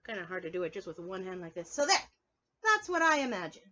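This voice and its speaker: A woman's voice: medium pitch, sing-song, quick pace, pushed and bright, dull audio. A woman preschool teacher reading aloud and leading a drawing lesson for young children, playful and encouraging, often asking her listeners questions.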